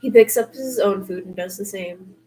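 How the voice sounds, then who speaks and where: A woman's voice: mid-range voice, normal speed, mellow, slight background noise, poor audio. A woman reading fiction aloud in an informal, slightly unpolished storytelling style. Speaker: podfic narrator reading fan fiction.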